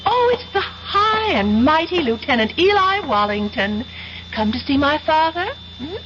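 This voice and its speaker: A haughty young woman of colonial times, scorned and vengeful. Her high voice carries theatrical melodrama through a muffled old radio recording with a faint hiss. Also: sing-song, measured pace. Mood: scornful, wounded. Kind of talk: 1970s radio melodrama acting.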